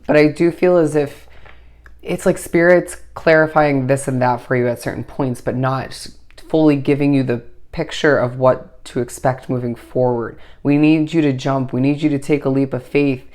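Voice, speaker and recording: A tarot reader talking straight to camera, hoarse from a recently lost voice. The delivery meanders through intuitive hunches and keeps turning back to the listener with reassurance. The pitch sits right in the middle. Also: a little mellow. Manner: reassuring, addresses the listener directly. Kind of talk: solo tarot reading to camera.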